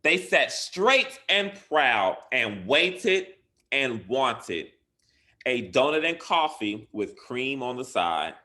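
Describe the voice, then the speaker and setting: Slow, bright-voiced man reading a storybook. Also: medium pitch, sing-song. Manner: deliberate, emphatic phrasing with dramatic stresses. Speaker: school educator reading a bedtime story. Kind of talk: expressive picture-book read-aloud for children.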